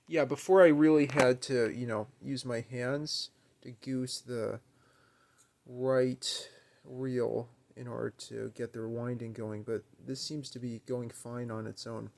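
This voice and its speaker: A man's voice: mid-range voice, vocal fry, a little mellow. A man giving a casual, unscripted demonstration at home, musing and a little hesitant as he thinks aloud. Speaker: amateur video maker demonstrating equipment. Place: carpeted room at home, indoors.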